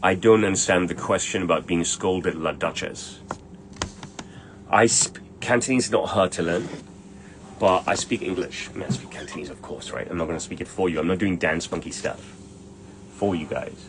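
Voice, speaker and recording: A man's voice: low-pitched, normal speed, noisy background. A middle-aged man, a tailor, answering viewer questions in a blunt, brash way and swearing freely. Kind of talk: casual livestream Q&A.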